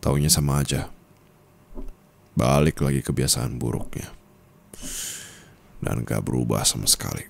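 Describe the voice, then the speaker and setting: Deep-voiced young guy, asmr boyfriend roleplay, low and close, scolding, sometimes gets loud. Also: unhurried, gravelly, long pauses. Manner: low, intimate, scolding, rising to angry outbursts.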